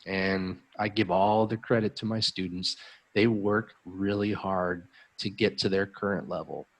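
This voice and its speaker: Gravelly-voiced American man, upbeat tutor. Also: sits low, fairly even intonation, measured pace. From United States. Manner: friendly, upbeat, many ums. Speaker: English tutor hosting a live stream. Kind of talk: casual live-stream chat.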